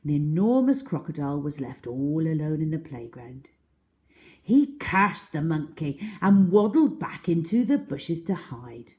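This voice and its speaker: A woman reading a children's story aloud in a dramatic, deliberate, expressive way. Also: sits low.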